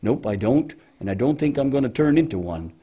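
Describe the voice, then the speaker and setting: A man voicing a patient, motherly female cow with a deep voice in a children's church puppet show, gently and hesitantly explaining things. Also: expressive, brisk, slightly rough, dull audio.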